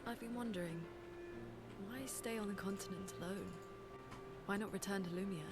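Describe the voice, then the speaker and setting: An actress playing a serious, reserved female researcher in a video game, measured, matter-of-fact and dry in her speech. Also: mid-range voice, close to an everyday voice. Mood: probing. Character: serious, reserved adult woman, scholarly researcher. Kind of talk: naturalistic video game cutscene acting. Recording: background music over dialogue.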